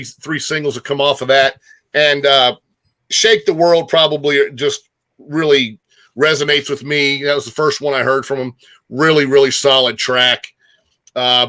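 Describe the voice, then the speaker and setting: A man's voice: medium pitch, bright, forceful tone. A man hosting a music show in a casual, informal chat, repeating phrases for emphasis.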